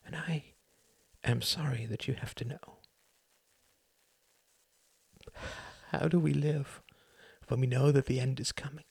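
A man voicing a grieving adult son in an audiobook, speaking in a hushed, whispery, emotional way. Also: mid-range voice, fairly even intonation, moderate pace, creaky, breathy edge, no room sound, voice pushed up. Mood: tender.

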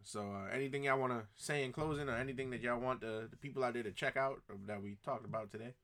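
A man's voice: mid-range voice, steady tone, fast, a bit bright. A man co-hosting a podcast in casual chat, talking in a laid-back, slangy way and drawing out his phrases. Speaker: podcast host.

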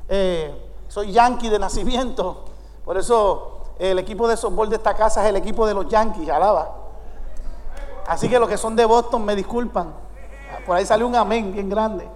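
A man's voice: sits high, moderate pace, darkish tone. A man in his fifties with a Puerto Rican Spanish accent, preaching a sermon and calling out to his congregation. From United States. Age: 50s. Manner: preaching with call-and-response to the congregation. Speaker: pastor. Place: church sanctuary. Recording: long reverb of a large church hall.

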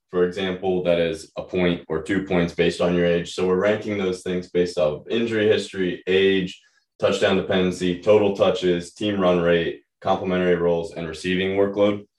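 A young man with a very low, slightly hoarse voice talks casually as a sports podcast co-host. He sounds set back from the microphone in a room with strong echo. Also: steady tone, normal speed, darkish tone. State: slightly hoarse from feeling unwell. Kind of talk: casual fantasy football analysis. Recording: roomy echo, distant from mic.

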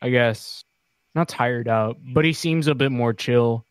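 A man joins a casual podcast as a guest, talking bluntly and joking around in slang. His pitch is average. The mic sounds dry and close. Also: a little slow, clean tone, no room sound.

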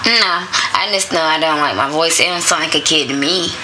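A woman co-hosting a casual podcast, talking in a lively, giggly, slangy way. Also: low voice, a little fast, a little hiss or hum, poor audio. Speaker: podcast co-host. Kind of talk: casual chat.

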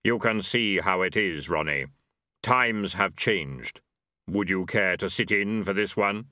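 A man voicing a calm, commanding Death with a measured, terse manner in a fantasy audiobook. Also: sits low, no echo, studio quality, exaggerated voice. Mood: grave. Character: calm, commanding Death, male horseman of apocalypse.

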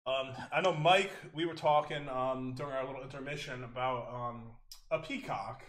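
A young American man telling travel stories in a casual podcast chat, animated and laughing often, acting out quotes. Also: medium pitch, normal speed, slight background noise. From United States. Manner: says he has a loud voice. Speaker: podcast co-host.

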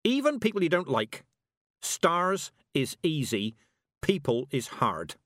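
A man's voice: on the high side, wildly swooping pitch, slow pace, a bit bright, dry recording, clean studio sound, voice pushed up, heavily acted. A man voicing a stern, blunt, proud old country witch woman with emphatic rustic speech, in audiobook style. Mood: emphatic, earnest. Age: older. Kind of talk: audiobook character acting.

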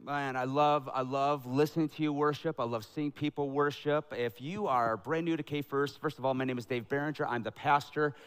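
A middle-aged man pastoring a church, preaching in a conversational, energetic style that builds to loud emphatic peaks, on a headset mic. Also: medium pitch, a little fast, hardly any pauses, clear, resonant tone. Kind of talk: sermon with announcements and prayer. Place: church sanctuary.

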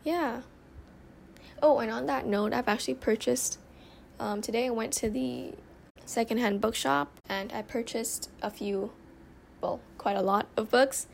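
A young woman from Thailand talks loosely into her phone from her bedroom, hosting a solo chat show. Her voice has a gravelly, fry-edged texture, and she wanders through answers with plenty of ums and restarts. A faint hiss sits under the phone recording. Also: a little high, measured pace. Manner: rambling, with many fillers and restarts. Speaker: podcast host. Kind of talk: casual solo chat, answering listener questions. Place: bedroom, by the window.

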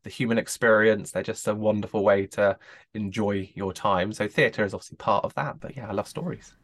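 A man's voice: fairly low pitch, steady tone, a little fast. A man, a podcast guest chatting in a jokey, informal way. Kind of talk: casual chat.

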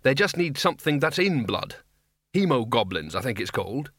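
A man's voice: medium pitch, big pitch swings, normal speed, a bit bright, slightly rough, no echo, clean studio sound. A man voicing a doubtful wizard Dean who is eager to help in a comic fantasy audiobook, tentative and earnestly explaining.

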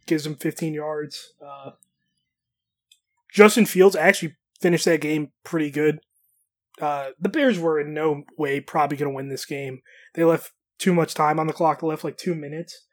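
A young man co-hosting a casual football podcast, ranting bluntly and swearing freely as he retells a game. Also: a little high. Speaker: podcast co-host. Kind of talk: casual sports podcast chat.

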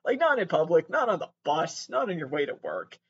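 A man giving casual comedic commentary as he reads messages aloud, halting and sweary, with exasperated asides. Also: a little high, sing-song, lo-fi sound, a little hiss or hum.

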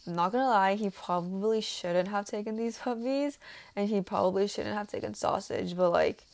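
A teenage girl who grew up in Taiwan speaks in an earnest, prepared way about her own life. Her voice sits at a middle pitch, and she pauses now and then with small fillers. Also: gravelly, a little mellow. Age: teens. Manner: earnest, slightly halting with fillers. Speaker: student speaker for a youth nonprofit. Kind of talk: prepared personal talk.

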